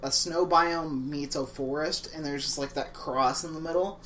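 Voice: mid-range voice, steady tone, normal speed, a bit bright, recorded from a distance, a little hiss or hum. A let's play co-host reading fan fiction aloud in a mock-dramatic, sarcastic way, with joking asides. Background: game music.

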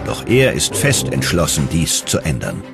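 A man's voice: a bass voice, wildly swooping pitch, normal speed, gravelly. A man reading scripted documentary narration in a dramatic, emphatic manner. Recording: loud music behind the voice. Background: loud music.

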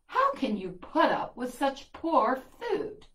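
Storytelling woman as a snooty bossy lady mouse, lively swooping pitch, children's tale, roomy sound. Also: takes it slowly, full character voice. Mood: scornful. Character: haughty, bossy female city mouse cousin. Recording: echoey classroom.

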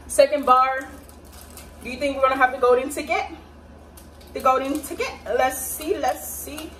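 A woman's voice: high-pitched, steady tone, normal speed, fairly bright tone. A young American woman chatting casually and playfully in slang to viewers as she hosts a home cooking vlog. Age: young adult. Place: small home kitchen, indoors. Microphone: phone or camera propped at a distance. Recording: distant mic, noisy home kitchen ambience.

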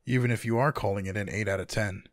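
A man playing a tender, teasing, sleepy lover in an intimate boyfriend ASMR roleplay, speaking softly and affectionately in a husky, gravelly voice. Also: fairly low pitch, fairly even intonation, no room sound, clean studio sound, natural voice. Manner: soft, affectionate, unhurried. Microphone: close microphone, dry.

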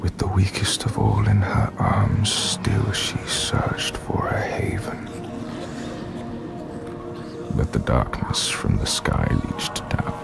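A man voicing an ominous ancient spirit in a video game, telling dark myths slowly and portentously in a breathy, gravelly half-whisper. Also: lowish voice, sing-song, heavily acted. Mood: grave, sorrowful. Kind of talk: solemn dramatic storytelling in a video game. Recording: voice under loud music.

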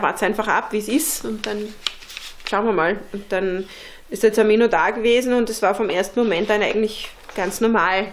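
A woman talks earnestly and thoughtfully, filling her pauses with small hesitations. Her voice sits at an ordinary middle pitch for a woman. She is recorded close up in an indoor workshop. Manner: earnest, thoughtful, many fillers. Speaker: interviewee, unschooling mother. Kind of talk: casual interview talk.

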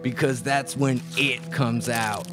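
Man putting on an ominous slow hillbilly. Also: medium pitch, steady tone, over music, close to an everyday voice. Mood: ominous warning. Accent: rural Southern US. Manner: folksy, punctuated with mm-hmm. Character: Billy Hill, ominous hillbilly man lurking in the woods. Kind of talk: live student radio theatre. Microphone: stand microphone.

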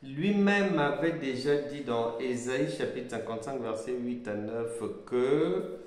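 A middle-aged man giving a sermon-style Bible lesson, speaking slowly and emphatically, repeating his key phrases, recorded at a desk webcam in a small indoor room. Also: medium pitch. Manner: slow, emphatic, repeats phrases, animated hand gestures. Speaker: pastor teaching a Bible course. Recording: echoey room with long reverb.

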